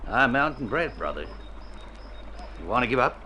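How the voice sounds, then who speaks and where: An actor playing a tough, stubborn old country doctor in a radio drama, folksy, with a rural American mountain accent. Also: male voice, mid-range voice, very animated, normal speed, pushed and bright. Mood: stubborn, teasing. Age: older. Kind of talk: Golden Age radio drama acting. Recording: noisy old radio recording.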